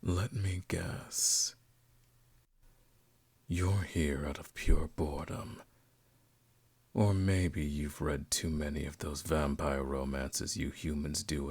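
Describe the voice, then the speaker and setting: A man playing a suave, predatory vampire lord in an ASMR roleplay, teasing and condescending, seductive and sometimes breathy. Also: a little mellow, exaggerated voice. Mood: mocking. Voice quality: deep, creaky, breathy at times. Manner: slow, teasing, drawn-out, condescending. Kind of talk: ASMR roleplay audio drama. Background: music.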